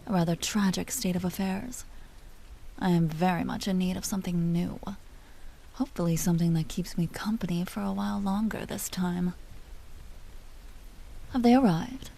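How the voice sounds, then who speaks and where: A woman playing an elegant vampire duchess in an audio roleplay, speaking softly with formal, languid, teasing courtesy and an amused, predatory air. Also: on the low side, normal speed, gravelly, long pauses, rough recording. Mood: poised, weary, then wistful, sheepish. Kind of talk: dramatic roleplay audio performance.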